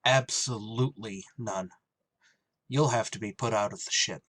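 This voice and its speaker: A man playing a blunt, solemn spaceship pilot who also narrates a radio drama, deliberate and terse, with buried feeling. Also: lowish voice, fairly bright tone, no echo. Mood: stern, urgent. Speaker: actor and first-person narrator.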